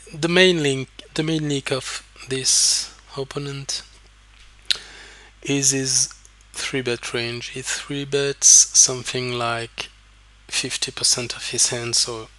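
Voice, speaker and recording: A man with a French accent, a native French speaker, coaching poker as he explains his hands over a recorded session. He talks in a halting way and repeats words while he thinks aloud. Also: medium pitch, pushed and bright, rough recording.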